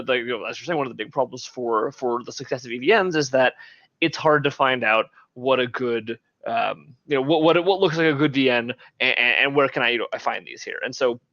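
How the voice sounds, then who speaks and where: A man's voice: medium pitch, pushed and bright, no echo, dull audio. A man in a casual podcast discussion, giving his opinions in a rambling, hedging way. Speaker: podcast co-host.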